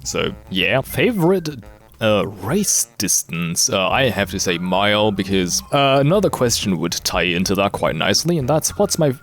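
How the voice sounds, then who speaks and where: A young man in his late teens whose native language is German speaks in a fairly low but very animated voice. He rambles casually through a gaming Q&A, cursing freely and putting himself down. Music plays behind him. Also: normal speed. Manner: foul-mouthed, self-deprecating. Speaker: gaming video creator. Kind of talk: casual rambling Q&A commentary over gameplay.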